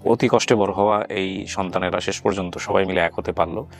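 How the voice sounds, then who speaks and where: A man's voice: sits low, steady tone, a little fast, creaky, faint music behind. A man with a Bangladeshi accent hosting a show, addressing his guests and audience. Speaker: show host.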